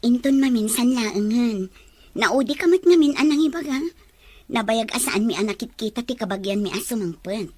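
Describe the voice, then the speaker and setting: An actress as a sweet, high-voiced young girlfriend. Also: animated, normal speed, a little mellow. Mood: sweet, casual. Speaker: actor. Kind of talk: melodrama dialogue.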